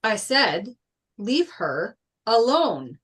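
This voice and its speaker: A woman's voice: mid-range voice, very animated, drawn-out words, fairly bright tone, slightly rough, heavily acted. A woman reading a proud, protective and blunt teenage boy athlete at a table read of a film script. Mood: threatening. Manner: confrontational, blunt.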